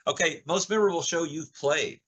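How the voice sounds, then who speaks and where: A man in his sixties hosting a casual interview, telling stories in a halting, conversational way. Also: a little high, a bit bright, slight background noise. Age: 60s. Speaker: podcast host, interviewer.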